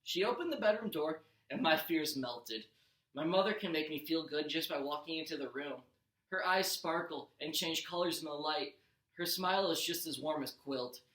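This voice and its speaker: A man's voice: a little high, moderate pace, fairly bright tone. A man, a teacher, narrating a first-person storybook aloud with expression. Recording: roomy echo.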